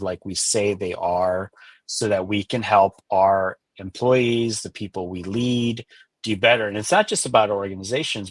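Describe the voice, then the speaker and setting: A man's voice: lowish voice, husky, no echo. A man whose first language is Spanish, a guest author on a webinar interview, speaking in a reflective, earnest way. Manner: reflective and earnest, with soft affirming murmurs.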